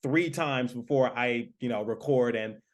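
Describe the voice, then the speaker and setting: A man from Michigan hosting a sports podcast, asking questions in a halting way with many fillers. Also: medium pitch. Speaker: podcast host, hockey play-by-play announcer. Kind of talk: casual interview chat.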